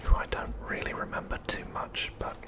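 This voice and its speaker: A man whispering an ASMR narration in a soft, unhurried way, with deliberate phrasing and pauses. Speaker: host of an ASMR channel.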